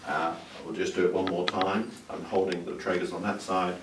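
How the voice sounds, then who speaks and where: An older man, a doctor, calmly explaining and demonstrating a hearing exam in a clinic room, with small restarts. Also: deep voice, moderate pace. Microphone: far camera mic. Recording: roomy, distant sound with faint room hiss.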